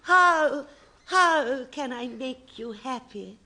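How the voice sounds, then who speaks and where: A gushing screen heroine of the forties, high-pitched and theatrically adoring. She draws out her words slowly, and the old radio comedy recording has clear noise. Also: lively intonation, heavily acted. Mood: tender, melodramatic. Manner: theatrical, gushing, drawn-out delivery. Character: breathlessly adoring, melodramatic 1940s film heroine. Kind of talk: parody of 1940s film romance acting.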